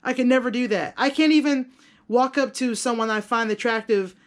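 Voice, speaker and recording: A woman's voice: fairly high pitch, brisk. A woman chatting casually to her viewers as a vlogger, candid, self-deprecating and rambling, with slangy language and casual swearing. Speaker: video blogger and content creator. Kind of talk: casual vlog chat to viewers.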